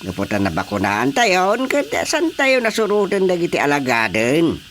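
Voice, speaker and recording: Man acting an animated Ilocano village chairman. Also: fairly high pitch, moderate pace. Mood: earnest, resigned. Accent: Ilocano Filipino. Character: village chairman, earnest, exasperated male local official. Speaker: voice actor. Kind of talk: Ilocano radio drama acting. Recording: faint background noise.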